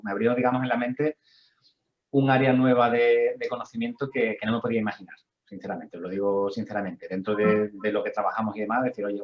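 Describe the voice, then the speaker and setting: Man, organizer; quick, low-pitched, dull call audio. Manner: chatty, self-deprecating. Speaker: course organizer. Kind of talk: casual introduction. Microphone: video call.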